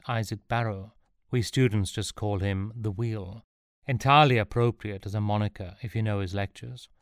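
A man's voice: lowish voice, smooth, no room sound, close to an everyday voice. A man voicing a young male scholar who is sardonic and teasing, with a dry manner.

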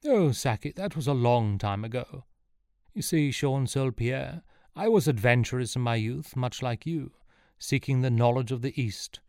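A man's voice: medium pitch, moderate pace, a little mellow, no room sound, clean studio sound, voice pushed up, natural voice. A man voicing an elderly eccentric professor, once an adventurer, speaking in a theatrical, old-fashioned way. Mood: wistful.

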